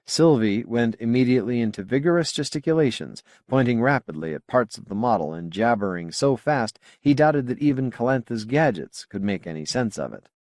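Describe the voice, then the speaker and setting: A man reading a science fiction novel as an audiobook narrator, with measured, clearly phrased delivery. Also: mid-range voice, expressive, darkish tone, no echo, clean studio sound.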